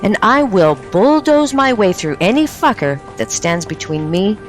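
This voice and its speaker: A blunt, fiercely protective older sister. Her mid-pitched radio drama delivery is lively and emphatic, with faint music behind. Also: unhurried. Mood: pleading resolve.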